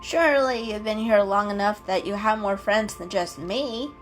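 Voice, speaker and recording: A woman's voice: mid-range voice, moderate pace, close to an everyday voice. Woman voicing a chirpy, emphatic young robin. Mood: concerned. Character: cheerful young male robin, friendly and frank. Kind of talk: dramatic storybook reading. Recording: music behind the reading.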